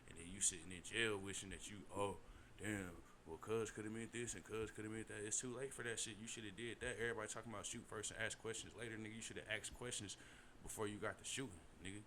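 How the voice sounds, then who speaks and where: Low male commentator, rapid slangy lecture, noisy recording. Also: bright, forceful tone. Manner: fast slangy street talk, lecturing. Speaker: commentator reacting to a podcast clip. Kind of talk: casual reaction commentary. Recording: noisy background.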